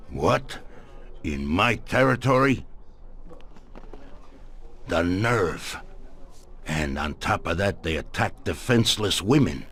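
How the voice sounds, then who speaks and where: A man's voice: low-pitched, expressive, slow pace, long pauses, fairly bright tone, slightly rough, full character voice. A man playing an authoritative, vengeful mob boss in a video game, with an American accent and a menacing manner. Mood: indignant. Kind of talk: video game cutscene acting.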